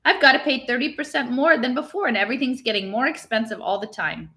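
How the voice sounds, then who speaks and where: Woman as a grumpy, bright-voiced male innkeeper. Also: fairly high pitch, fast talker, pitched above the performer's own voice. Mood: defensive, fierce. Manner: irritable, protesting. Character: grumpy, defensive male innkeeper, a harried husband and father. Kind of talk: expressive storybook read-aloud.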